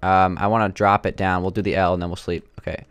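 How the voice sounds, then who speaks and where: A young man with a slightly raspy, sore throat, thinking aloud with lots of fillers as he builds in a game. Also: sits low, little pitch movement, fast talker, dry recording, soft background music. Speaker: gaming channel host. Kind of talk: casual gameplay commentary.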